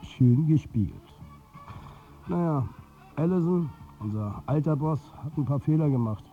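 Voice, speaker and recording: An actor playing a wry, beleaguered, football-mad club manager in a radio play, talking in a colloquial, confiding way. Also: male voice, on the high side, animated, a little slow, mellow, lo-fi sound, faint music behind. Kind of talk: radio drama narration and dialogue.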